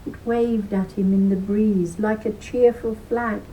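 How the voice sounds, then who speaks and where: A woman in her sixties or older reading a children's story aloud expressively, with deliberate phrasing and dramatic emphasis, at home on a computer microphone. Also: mid-range voice, steady tone, dark tone, slight background noise, rough recording. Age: 60s or older. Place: living room at home beside window. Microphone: webcam or laptop microphone.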